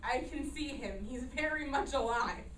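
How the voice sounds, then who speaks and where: A woman's voice: high-pitched, normal speed, a bit bright, far from the mic. A woman playing an exasperated, no-nonsense female guest in a staged play reading, blunt and incredulous. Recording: echoey room from afar, faint noise.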